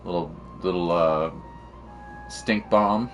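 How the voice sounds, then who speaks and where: A man's voice: deep voice, takes it slowly, music in the background. A man streaming a game, chatting casually in a rambling, teasing, slangy way. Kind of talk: casual chat while playing.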